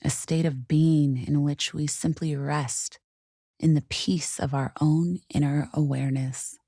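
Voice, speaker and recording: A woman guiding a meditation in a soothing, unhurried, softly spoken way with gentle pauses. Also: low voice, steady tone, no room sound, studio quality. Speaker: meditation guide. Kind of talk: guided meditation narration.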